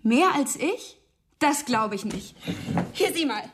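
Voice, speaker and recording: High-voiced, needy, affectionate woman. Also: animated, takes it slowly, very noisy. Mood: needy, playful. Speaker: radio drama actor. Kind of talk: intimate radio drama acting.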